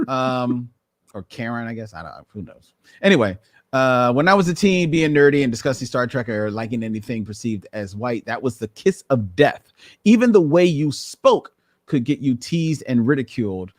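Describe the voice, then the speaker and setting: A man's voice: medium pitch, big pitch swings, moderate pace, no echo, clean studio sound. A man hosting a podcast in casual chat, talking in long meandering sentences and laughing often. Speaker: podcast host. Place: room full of Batman memorabilia.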